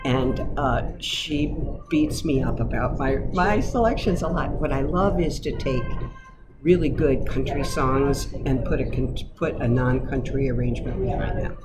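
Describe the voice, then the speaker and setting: A woman cabaret singer with a low voice, chatting casually in a radio interview, self-deprecating and quick to laugh. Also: heavy background noise. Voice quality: lower voice. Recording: music playing loudly underneath.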